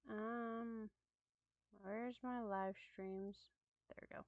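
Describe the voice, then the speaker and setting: A woman livestreamer chatting casually, in a hesitant, halting way. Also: a little high, fairly even intonation, dry recording. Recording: thin phone-like lo-fi audio.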